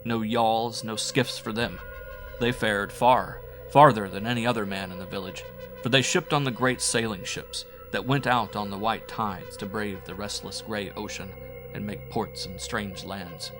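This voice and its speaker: Male audiobook storyteller, fairly low pitch, dramatic and brooding scripted horror delivery over music. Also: steady tone, a little slow, rough recording. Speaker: audiobook narrator.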